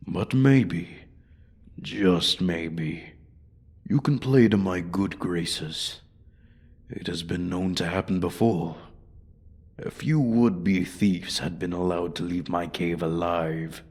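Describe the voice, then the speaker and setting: Casting: male actor as a menacing dragon for fantasy ASMR roleplay, very low husky voice, slow condescending taunts. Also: darkish tone, heavily acted. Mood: mocking condescending. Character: menacing male dragon toying with human prey.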